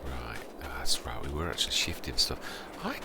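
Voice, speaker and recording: A man with a very quiet voice, casually commentating a farming game and thinking out loud in half-whispered asides. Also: very deep voice, normal speed, gravelly. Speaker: gaming channel host. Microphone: home desk microphone. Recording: loud game vehicle noise behind voice. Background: game vehicle engine sounds.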